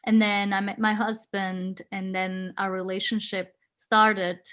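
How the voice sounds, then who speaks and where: A woman's voice: medium pitch, little pitch movement, unhurried, fairly bright tone. Woman in her forties, laughing often. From Switzerland. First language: Swiss German and German. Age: 40s. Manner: friendly, laughs often, searches for words. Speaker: guest. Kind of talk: casual interview.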